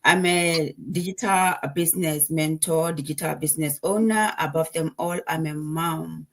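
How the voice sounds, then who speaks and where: Botswana-born woman host, slightly low, hurried and halting on a video call. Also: fairly even intonation. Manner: hurried, halting with many fillers. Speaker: host, digital business mentor. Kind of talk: live-stream chat.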